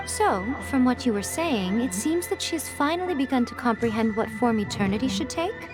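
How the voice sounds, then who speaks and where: A woman's voice: mid-range voice, close to an everyday voice. A woman voicing a sly, elegant shrine priestess in a video game, with a teasing, knowing manner. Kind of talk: video game character acting. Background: background music.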